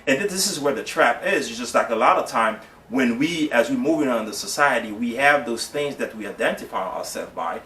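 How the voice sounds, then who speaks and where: A man's voice: mid-range voice, expressive, brisk, few pauses, pushed and bright. A man from Haiti, talking as a guest in a casual discussion, earnest and explanatory, often asking if the listener follows. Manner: earnest, explanatory, repeats "you see what I'm saying". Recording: echoey room, mic at a distance, faint noise.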